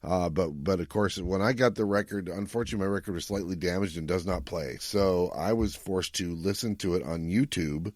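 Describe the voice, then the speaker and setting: A man's voice: deep voice, brisk, few pauses, dry recording, clean studio sound. A man hosting a podcast in casual chat, explaining things in a rambling, self-correcting way. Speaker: podcast host.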